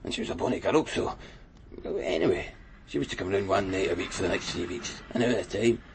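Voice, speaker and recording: An actor playing a chatty, wistful Glasgow tattooist in a radio crime drama, rambling colloquially with a Scottish accent. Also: male voice, low-pitched, animated, brisk, a little hiss or hum. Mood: frank, unhurried. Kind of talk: naturalistic radio drama acting.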